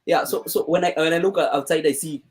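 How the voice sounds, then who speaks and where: An upbeat man hosts a live stream and chats casually with a guest. His voice sits a bit high for a man. He stumbles and repeats words as he talks, and he laughs easily. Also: fairly even intonation, brisk. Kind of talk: casual chat.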